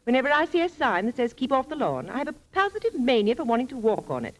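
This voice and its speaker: An actress playing a coy, gushing middle-aged matron with a genteel manner in an old radio comedy. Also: female voice, high voice, very animated, quick pace. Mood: coy, charmed. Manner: genteel, charming, fluttery. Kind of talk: 1930s radio comedy acting. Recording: faintly noisy 1930s radio recording.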